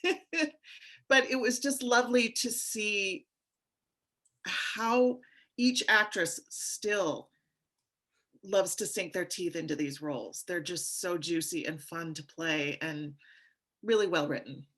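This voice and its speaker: A woman's voice: fairly low pitch, takes it slowly, pauses often, fairly bright tone. A middle-aged or older woman, an actress, chatting casually and reminiscing among fellow cast members.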